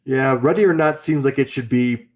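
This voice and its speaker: A man co-hosting a casual movie podcast, excitable and jokey. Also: mid-range voice, normal speed. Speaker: podcast co-host.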